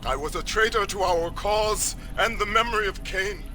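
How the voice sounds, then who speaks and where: A man's voice: high voice, normal speed, pushed and bright, faint music behind. An actor playing a broken religious traitor in a game cutscene, making a declamatory confession to his followers. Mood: penitent, fervent. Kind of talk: dramatic game cutscene acting.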